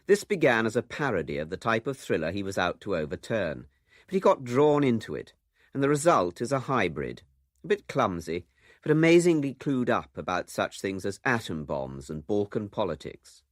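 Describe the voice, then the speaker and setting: A man's voice: fairly low pitch, big pitch swings, moderate pace, dry recording, clean studio sound. A man with a British accent reading scripted narration for a radio documentary.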